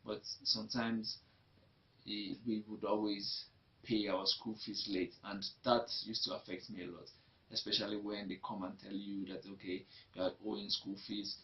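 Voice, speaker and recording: A man's voice: fairly low pitch, flat delivery, normal speed. A young Nigerian man with a Nigerian accent, telling his life story in an interview in a reflective way that often repeats itself. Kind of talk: personal testimony. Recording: dull lo-fi recording.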